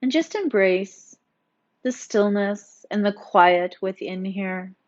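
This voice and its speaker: Female yoga teacher for guided relaxation: soothing, gently instructive, mid-pitched, on slightly muffled lo-fi audio. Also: takes it slowly. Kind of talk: guided meditation narration.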